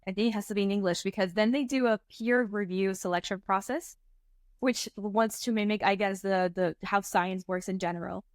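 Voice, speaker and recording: A woman's voice: medium pitch, normal speed, no echo. Brief: woman in her twenties from Venezuela, guest, chatty, storytelling, dry close recording. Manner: animated storyteller, fillers like "like" and "um". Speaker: startup co-founder, guest. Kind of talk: casual interview talk.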